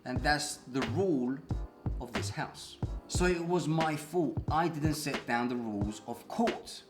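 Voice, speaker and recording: A man's voice: mid-range voice, echoey room. A man recounting his court case in a casual, agitated vlog, acting out what people said. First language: English. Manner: agitated, swears, acts out quotes. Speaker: vlogger.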